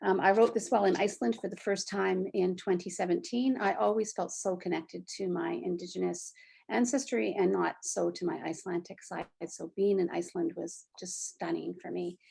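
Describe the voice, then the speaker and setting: A woman in her sixties from Canada, a poet giving a short spoken introduction and then reading her poem aloud in a reflective, measured way. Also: lowish voice, fairly even intonation, vocal fry, darkish tone, husky. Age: 60s. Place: near the Fraser River, British Columbia.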